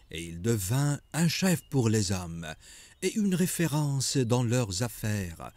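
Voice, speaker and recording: A man narrating an animated religious tale as a scripted story, solemn and deliberate. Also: mid-range voice, no room sound.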